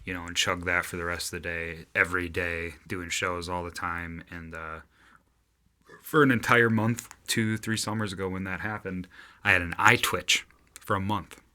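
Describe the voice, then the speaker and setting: A man co-hosting a podcast in casual chat, with a loud voice, rambling on and swearing freely. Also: deep voice, fairly even intonation, normal speed. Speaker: podcast co-host and singer.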